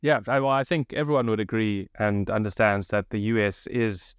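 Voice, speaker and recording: A young man from South Africa hosting a podcast, asking calm, brief questions on a studio mic. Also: fairly low pitch, normal speed, smooth, no echo. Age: young adult. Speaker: podcast host. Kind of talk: podcast interview. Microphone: studio mic, headphones.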